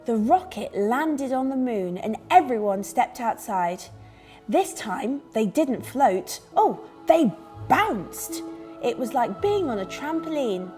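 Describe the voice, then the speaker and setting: Animated high-voiced woman reading to children. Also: slow. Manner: expressive, clearly dramatised delivery. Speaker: children's story reader. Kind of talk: animated scripted storytelling for young children. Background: music under the reading.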